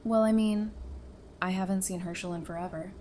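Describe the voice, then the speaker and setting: A woman's voice: mid-range voice, fairly even intonation, moderate pace, dark tone, slight background noise, close to an everyday voice. A woman playing an affectionate, playful survivor in an audio drama, casual and teasing in her delivery.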